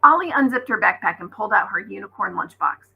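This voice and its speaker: A woman's voice: mid-range voice, expressive, fast, gravelly, husky, highs cut off. A woman, a teacher reading aloud, narrating a children's story expressively.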